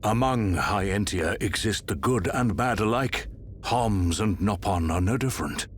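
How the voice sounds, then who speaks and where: A man voicing a stern, stately older emperor, solemn and measured, in a video game. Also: on the low side, lively intonation, a little hiss or hum. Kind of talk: video game cutscene acting.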